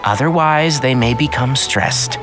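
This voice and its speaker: A man voicing a calm, knowledgeable young scholar in a video game, articulate and precise like a lecturer. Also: mid-range voice, a little slow, over music, lightly acted. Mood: calm, instructive. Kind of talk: game voice acting.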